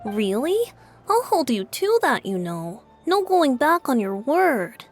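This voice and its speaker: A woman acts out a boyish young bard in a fantasy video game. He has a high, lilting voice and a playful, theatrical way of teasing. Faint music sits behind the lines. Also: very animated, takes it slowly, full character voice. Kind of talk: video game fan dub.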